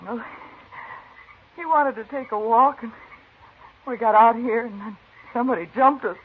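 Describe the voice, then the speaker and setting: A woman's voice: a little high, moderate pace, lightly acted. An actress playing a shaken, evasive Old West saloon girl in a hesitant, stammering 1950s radio drama style. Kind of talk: 1950s radio western acting. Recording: muffled, noisy 1950s radio recording.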